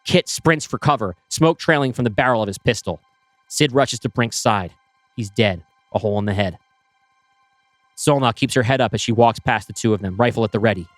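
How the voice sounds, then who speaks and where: A man reading his screenplay aloud, with brisk scene-setting narration. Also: medium pitch, dry recording, soft background music. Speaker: writer pitching a screenplay.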